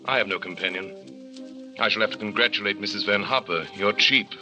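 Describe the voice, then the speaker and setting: An actor in a 1940s radio drama playing a brooding, lonely wealthy widower with a clipped, brusque manner. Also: male voice, fairly low pitch, moderate pace, over music, close to an everyday voice, poor audio.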